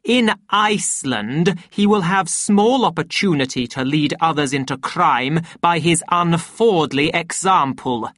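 Woman voicing a loud, pompous male director denouncing someone. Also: sits low, lively intonation, measured pace, few pauses, no room sound, studio quality, voice pushed up, heavily acted. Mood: solemn, accusing. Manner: grandiose, oratorical, emphatic. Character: pompous male director, stern public accuser. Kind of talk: audiobook character acting.

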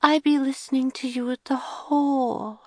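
A woman reading an audiobook, voicing a tiny frightened toddler girl who talks in high, wailing, childlike broken grammar. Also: fairly even intonation, normal speed, warm tone, dry recording, studio quality, close to an everyday voice. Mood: wondering.

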